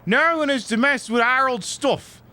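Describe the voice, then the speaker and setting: A man's voice: extremely high pitch, moderate pace, bright, forceful tone, pitched above the performer's own voice, exaggerated voice. A man streaming a video game in a put-on British accent, voicing a cheeky, foul-mouthed, exasperated bloke who rambles through live commentary with mock indignation. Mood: wry, mock-incredulous. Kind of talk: improvised live gaming commentary. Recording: music behind the voice. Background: game music.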